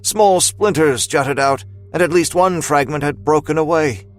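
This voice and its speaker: A man narrating a scripted dramatic story with deliberate, literary phrasing. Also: fairly high pitch, faint music behind.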